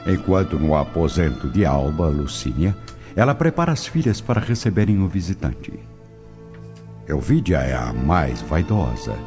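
A man's voice: extremely low pitch, big pitch swings, normal speed. A man narrating a scripted radio drama set in ancient Rome in a solemn storyteller's manner. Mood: solemn storytelling. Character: solemn male narrator of an ancient Roman tale. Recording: dull lo-fi audio under music. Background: prominent music.

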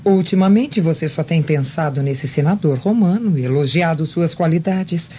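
A man's voice: fairly high pitch, normal speed, mellow, a little hiss or hum, natural voice. A man voicing a suspicious, probing wife of a Roman governor in a radio drama. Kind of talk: dubbed radio drama acting. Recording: muffled audio with music behind.

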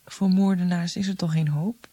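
An actress playing a curious listener in a radio drama, asking probing questions in a hushed way. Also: female voice, on the low side, fairly even intonation, moderate pace, natural voice.

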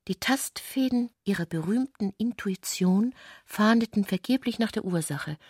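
A woman performing as the measured, composed narrator of a satirical literary radio drama. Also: fairly low pitch, gravelly, darkish tone, breathy edge, dry recording, clean studio sound.

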